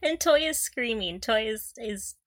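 A woman's voice: a little high, expressive, measured pace, a bit bright. A young woman co-hosting a podcast in casual fan chat, playful and gushing, often giggling through her words. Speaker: podcast co-host. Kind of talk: casual fan discussion of audio dramas.

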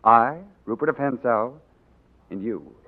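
A man's voice: mid-range voice, measured pace, lightly acted. A man playing a sly scheming courtier villain. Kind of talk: 1940s radio acting. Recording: tinny 1940s radio broadcast.

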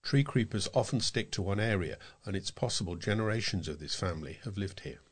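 Male, British accent, lowish pitch, volunteer reader of scripted local news and narration. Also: animated, a little fast, breathy edge. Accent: British English. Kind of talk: scripted narration, reading aloud.

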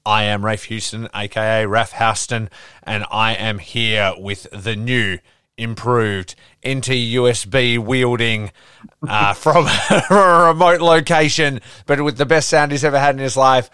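A man's voice: mid-range voice, measured pace, bright, forceful tone, clean tone, dry recording, clean studio sound. A man hosting a podcast, teasing his co-host in casual banter over a video call. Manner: joking, teasing, swears casually.

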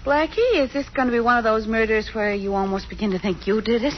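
An actress playing a sleuth's brisk, loyal female sidekick in 1940s radio style, on a muffled old recording. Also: on the high side, close to an everyday voice. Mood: brisk, puzzled.

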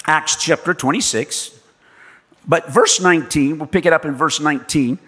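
A man preaching a sermon as pastor and missionary, telling stories with animated delivery and acting out the people in them. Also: on the high side, moderate pace, slightly rough. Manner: animated storytelling preacher, acts out voices in quotes. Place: church. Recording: long reverb of a church hall.

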